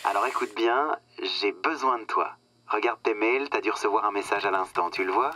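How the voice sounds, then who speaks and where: A man's voice: fairly low pitch, normal speed, bright, forceful tone. An actor playing a smug, patronizing corporate marketing man who talks in glib business jargon in a radio satire. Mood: falsely cheerful. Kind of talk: satirical radio comedy dialogue, phone-call scene.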